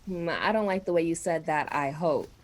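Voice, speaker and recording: A woman's voice: sits low, lively intonation, normal speed, slight background noise. A woman playing an anxious, reluctant young wife in a radio drama, speaking in a fretful, pleading way. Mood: worried.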